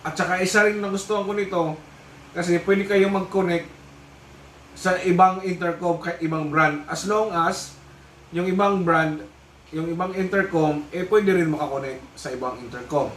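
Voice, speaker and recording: A man's voice: high-pitched, normal speed, a bit bright. A man with a Filipino accent giving a casual, explanatory product review, often repeating himself as he goes. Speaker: vlogger reviewing a motorcycle intercom. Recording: echoey room, distant mic, faint noise.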